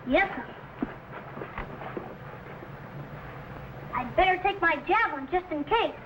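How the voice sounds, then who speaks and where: A woman's voice: very high-pitched, animated, brisk, fairly bright tone. A woman playing an eager, earnest young boy who dreams of exploring, in an old-time radio adventure. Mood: earnest, grudging. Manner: earnest, protesting, boyish. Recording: slightly noisy old radio recording.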